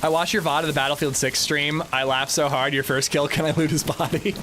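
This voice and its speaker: A man's voice: fairly high pitch, steady tone, brisk, bright, forceful tone, slight background noise. A man streaming a video game, chatting casually with his chat in a rambling, chatty way and swearing freely. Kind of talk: casual livestream chat while gaming.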